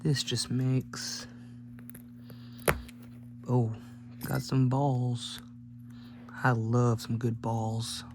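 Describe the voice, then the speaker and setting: Male teardown host, mid-pitched and flat, casual rambling commentary with offhand jokes, clearly noisy humming recording. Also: very slow, pauses often. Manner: rambling, jokey offhand remarks. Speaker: host of a gadget teardown video.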